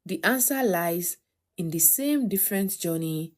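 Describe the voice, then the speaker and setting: A woman narrating a scripted documentary in a measured, articulate way. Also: lowish voice, lively intonation.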